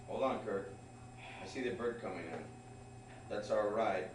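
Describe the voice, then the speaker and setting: A man playing a tough, protective young mercenary at an informal table read, reading casually and a little haltingly. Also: lowish voice, lightly acted. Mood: urgent. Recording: echoey room, distant mic, noisy background.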